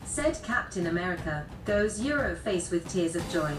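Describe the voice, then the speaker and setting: A synthetic woman's voice reading out chat messages in a flat, even way. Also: fairly low pitch, moderate pace, loud music behind the voice. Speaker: text-to-speech chat reader. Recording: distant, roomy, very noisy stream audio.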